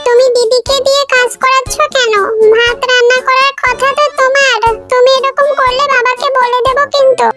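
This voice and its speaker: A woman's voice: extremely high pitch, little pitch movement, moderate pace, hardly any pauses. A woman voicing an innocent, defiant little brother in a children's cartoon, childish and insistent. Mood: indignant. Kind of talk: children's moral cartoon acting. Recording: loud music behind the voice.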